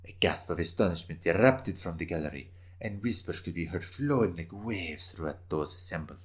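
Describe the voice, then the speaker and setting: A man with a low voice reads a scripted tale as narrator. His delivery is intense and theatrical, made for a dramatic story reading.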